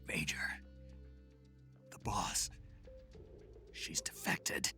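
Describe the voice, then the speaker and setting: A man playing a wounded soldier in a video game, speaking weakly in a breathy whisper. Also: extremely low pitch, very slow, sharp tone, faint music behind, full character voice. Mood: weak, shocked. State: weak, injured. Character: wounded male soldier, weak and gravely stunned.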